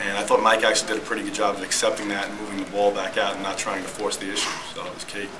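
Quick-talking middle-aged coach, flat delivery. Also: male voice, fairly low pitch. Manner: quick, matter-of-fact explanations. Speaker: basketball head coach. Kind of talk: postgame press conference answers. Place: press room in front of a team backdrop. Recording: noisy press room audio.

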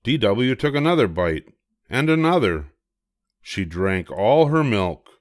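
An older man reads a children's book aloud. His voice is on the low side and expressive, captured with very little room sound. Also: measured pace, no room sound, studio quality. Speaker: storybook reader.

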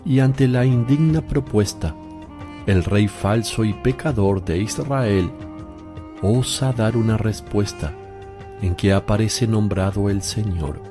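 Grave holy teacher in a radio play, preaching really slowly, soft music behind. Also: male voice, on the low side. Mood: solemn, reproving. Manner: solemn, deliberate, preaching. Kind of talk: radio drama scripture teaching.

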